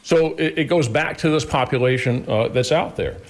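Mid-pitched male official making a statement. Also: moderate pace. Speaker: county sheriff. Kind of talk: public statement, unscripted.

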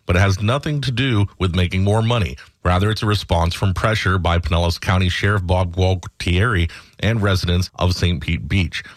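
A man's voice: low-pitched, no echo, studio quality. An American man reading scripted news headlines as a radio anchor.

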